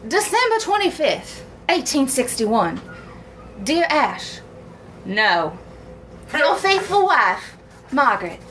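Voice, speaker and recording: A melodramatic Civil War wife played by a woman, high-voiced and unhurried, pines through her letters with genteel, swooning primness and bawdy undertones. Her pitch sweeps dramatically. It is a comic live reading with a little background noise. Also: sing-song, sharp tone, full character voice. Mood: prim.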